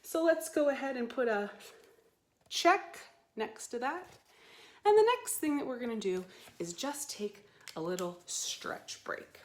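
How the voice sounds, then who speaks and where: A woman hosting storytime for young children, speaking in a playful, expressive, simple way as she guides and reads aloud. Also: mid-range voice, echoey room, slight background noise.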